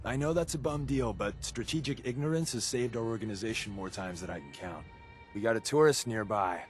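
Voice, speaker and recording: An actor playing a breezy, wisecracking resistance spy in a video game, talking in a glib, casual, confiding way. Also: male voice, lowish voice, a little fast, faint music behind, natural voice. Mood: casual briefing.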